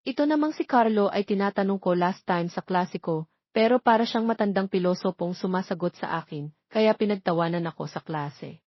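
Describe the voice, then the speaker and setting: A woman's voice: medium pitch, fairly quick, natural voice. A woman voicing a polite, exasperated schoolteacher who explains things, in a story. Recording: muffled lo-fi, roomy echo.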